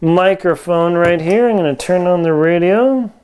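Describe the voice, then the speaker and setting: A man's voice: sits high, normal speed, darkish tone, slight background noise. A man in his forties giving a casual, hands-on gear review in informal, folksy wording, recorded indoors on a lapel mic. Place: home kitchen, indoors.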